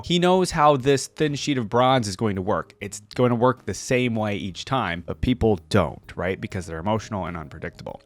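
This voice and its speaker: A man's voice: medium pitch, dry recording. A man hosting a reaction video, reading a story aloud expressively and adding casual, informal commentary.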